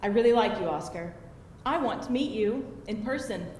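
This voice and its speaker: A woman's voice: mid-range voice, lively intonation, moderate pace, a little mellow, lightly acted. A woman playing a shy, self-conscious divorced woman in her late thirties in a live screenplay reading. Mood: tender. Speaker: actress in a live table read. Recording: echoey hall, distant mic, faint noise.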